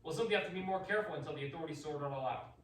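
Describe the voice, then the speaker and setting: A man playing an authoritative, rational doctor in a radio play, brisk and a little flustered in manner. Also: on the high side, fairly bright tone, natural voice. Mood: uneasy politeness. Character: authoritative, rational doctor and host, uneasily polite. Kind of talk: theatrical radio drama acting. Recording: echoey room, distant mic, faint noise.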